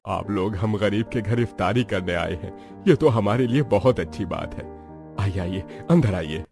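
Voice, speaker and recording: A man voicing a poor, weary middle-aged father in a cartoon story, humble, with a gravelly voice. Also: on the low side, moderate pace, over music. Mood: humbly welcoming.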